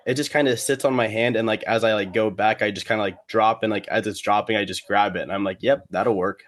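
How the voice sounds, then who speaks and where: A man chatting casually as a podcast guest, rambling and halting, with plenty of slang. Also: lowish voice, fairly even intonation. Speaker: guest, competitive cornhole player.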